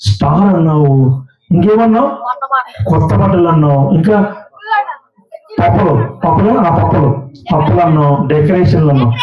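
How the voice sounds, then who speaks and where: A man teaching children at a Sunday school puppet show, coaxing and questioning a child in a large hall. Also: fairly high pitch. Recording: roomy, distant, dull sound.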